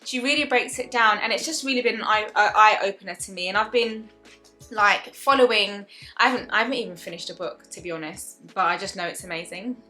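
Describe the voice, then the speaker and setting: Woman about thirty, vlogger, chatty and earnest, bright forward voice, soft music underneath. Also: medium pitch, brisk. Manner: chatty, earnest, many restarts and fillers. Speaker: wellness vlogger. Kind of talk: casual talk to camera.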